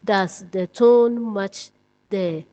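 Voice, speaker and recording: A woman's voice: mid-range voice, very slow, dark tone. A woman narrating a scripted writing lesson, reading out points step by step like a teacher.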